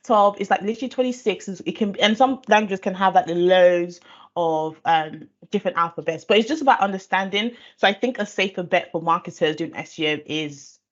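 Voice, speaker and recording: A woman's voice: fairly low pitch, fairly quick. A woman from Nigeria who grew up speaking Igbo, explaining SEO in a thoughtful conversational way, with fillers and restarts. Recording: dull lo-fi audio.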